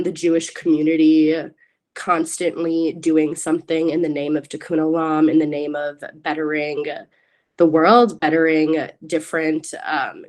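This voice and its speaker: A young woman in her twenties, a graduate student speaking earnestly on a panel, often pausing with fillers. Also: low voice. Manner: earnest, with frequent "um"s. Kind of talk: panel discussion.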